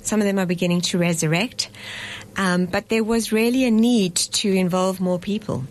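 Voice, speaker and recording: A woman's voice: medium pitch, moderate pace, slight background noise. A middle-aged woman, a festival director, speaking thoughtfully in a radio studio interview, with frequent fillers and restarts. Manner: thoughtful, hesitant with fillers and restarts, laughs easily.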